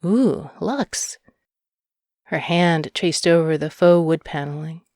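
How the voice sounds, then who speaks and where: A woman's voice: low-pitched, flat delivery, normal speed, a little mellow, clean tone, dry recording, clean studio sound. A woman narrating a short story from a script. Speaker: audiobook narrator.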